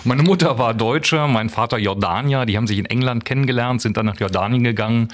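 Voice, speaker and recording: A man's voice: mid-range voice, fast. A man from Germany, a guest in a radio studio interview, speaking hesitantly with many ums and a wry tone. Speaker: guest, writer and musician.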